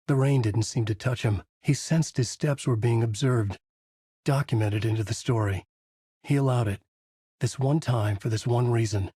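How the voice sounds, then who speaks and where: An actor playing a haunted male horror writer who narrates in a measured, brooding way in a dry studio booth. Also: medium pitch, dark tone, husky, no room sound, natural voice. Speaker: video game character narrating. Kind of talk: game voice lines, dark narration. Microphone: studio mic.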